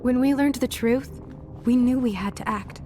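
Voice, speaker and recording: Woman as an assured, high-voiced rebel leader. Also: normal speed, warm tone, natural voice. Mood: resolute. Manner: assured, explanatory. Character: composed woman resistance leader briefing young heroes. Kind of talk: animated video game voice acting. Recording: faint music behind the voice.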